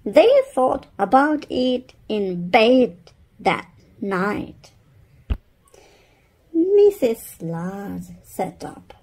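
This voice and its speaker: A woman reading a children's storybook aloud in a deliberate, expressive narrating style. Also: mid-range voice, breathy edge. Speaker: storybook reader.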